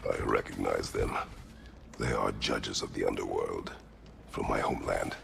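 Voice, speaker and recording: An actor playing a grim, stoic Greek warrior god in a video game, with terse, formal, solemn speech and a deep gravelly voice. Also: male voice, normal speed, fairly bright tone, a little hiss or hum, exaggerated voice. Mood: grim, guarded. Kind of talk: cinematic video game acting.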